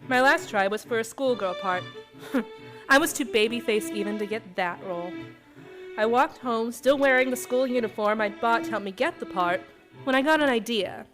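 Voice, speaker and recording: A woman's voice: fairly high pitch, moderate pace, music in the background, natural voice. A woman playing a cynical young female con artist, narrating her confession in wry, old-time radio drama style. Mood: wry, bitter.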